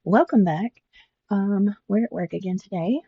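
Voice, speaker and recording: A woman's voice: medium pitch, a little slow, husky, muffled recording. An older woman, chatting casually about her stitching with many restarts and repeats. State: congested. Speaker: embroidery vlogger.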